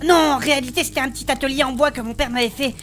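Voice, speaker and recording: A woman playing a spirited female blacksmith adventurer, recounting her past in animated, colloquial in a fantasy audio drama. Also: high-pitched, very fast, slight background noise. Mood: lively storytelling. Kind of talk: French fantasy audio fiction acting.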